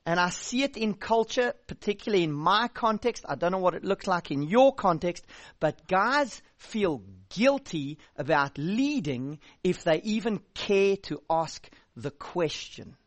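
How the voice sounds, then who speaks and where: A man's voice: sits high, lively intonation, measured pace, no echo. A South African youth pastor in his thirties preaching a sermon, direct and blunt, with a dry joking edge.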